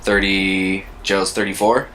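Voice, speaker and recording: A man's voice: deep voice, little pitch movement, slow, fairly bright tone, a little hiss or hum. A man in his thirties co-hosting a casual chat, thinking aloud in a reflective way and searching for his words. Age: 30s. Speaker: co-host.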